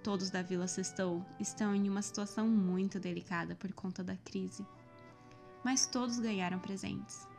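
A woman's voice: mid-range voice, fairly even intonation, moderate pace, creaky, darkish tone, soft background music, lightly acted. A woman voicing a welcoming, kindly mother with a Brazilian accent in a children's cartoon. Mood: worried.

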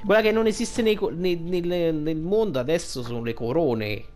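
A man giving casual gameplay commentary as a streamer, colloquial and thinking out loud, with some swearing. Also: a little high, normal speed. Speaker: video game streamer. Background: game music.

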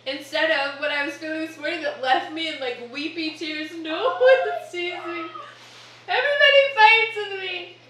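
A woman's voice: extremely high pitch, moderate pace, fairly bright tone, roomy sound. A woman in her thirties, a homestead vlogger, chatting playfully and teasing her husband in their home kitchen. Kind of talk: casual chat.

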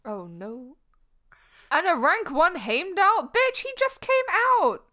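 A young woman with a Yorkshire accent chatting excitably and swearing while playing a game with a friend. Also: high-pitched, slow. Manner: excitable, swears, laughs often. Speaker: streamer. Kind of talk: casual gaming chat. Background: game music.

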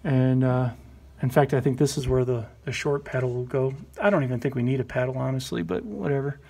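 A man in his thirties giving a relaxed, rambling walkaround of a boat project in a large workshop garage, filmed on a handheld phone, with many fillers and restarts. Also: medium pitch, fairly quick, vocal fry, breathy edge. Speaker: channel host. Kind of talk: casual walkaround vlog. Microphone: handheld phone camera close up.

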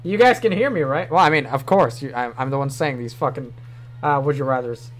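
A man's voice: mid-range voice, brisk, soft background music. A young man with a New York accent, chatting casually on a livestream from a small room, rambling and swearing offhandedly. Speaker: streamer.

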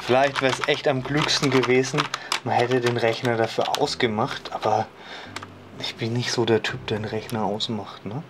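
A woman's voice: a bass voice, steady tone, moderate pace, noisy background. A woman hosting a tech channel, casually reviewing a product at home, rambling and chatty with lots of fillers and tag questions. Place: indoors at home, moving between rooms.

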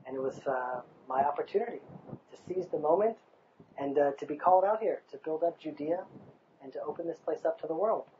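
A man's voice: fairly high pitch, a little fast, dull audio, slight background noise. Passionate man on an outdoor overlook. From United States. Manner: passionate, emphatic, rhetorical. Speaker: interviewee. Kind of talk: outdoor interview. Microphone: lapel mic.

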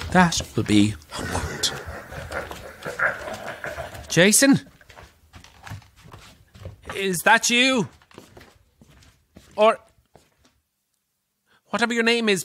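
An actor playing a haughty, jumpy older Irish pantomime star in an audio drama, theatrical and imperious. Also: male voice, fairly high pitch, noisy background. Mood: muttering to himself. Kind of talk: audio horror-comedy drama acting.

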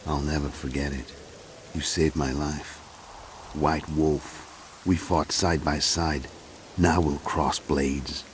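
An actor playing a hulking, calm, menacing assassin in a video game, deliberate and coolly confident. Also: male voice, rumbling low, slight background noise. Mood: cold, menacing.

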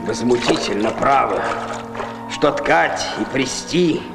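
A man's voice: medium pitch, animated, slow pace, creaky. Scornful Greek statesman, swooping voice. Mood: indignant. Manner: declamatory, emphatic. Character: indignant, scornful ancient Greek statesman opposing women. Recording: echoey hall sound with music behind.

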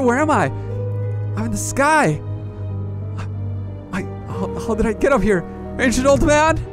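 A man playing an excitable, naive young adventurer, stammering and talking to himself as he goes through an amateur adventure story. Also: high-pitched. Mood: bewildered, panicked. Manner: talks to himself, stammering, breathlessly reactive.

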